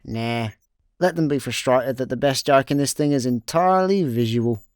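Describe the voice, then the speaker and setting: A young Scottish man playing a chilled, work-shy space layabout in a comic radio play, deadpan and unbothered. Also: mid-range voice, moderate pace, no room sound, lightly acted. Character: chilled, work-shy male space slacker, dryly sarcastic. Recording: dry studio, faint music behind.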